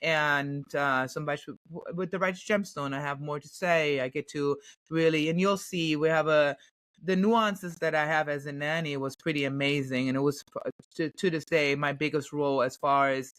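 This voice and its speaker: A woman's voice: low voice, fairly even intonation, normal speed, fairly bright tone, no room sound. German-accented woman, dry close sound. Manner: chatty, many fillers and restarts. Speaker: actress, podcast guest. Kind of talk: casual interview answers.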